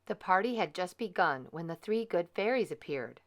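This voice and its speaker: A woman reading a children's storybook aloud in an expressive, measured, dramatic way. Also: lowish voice.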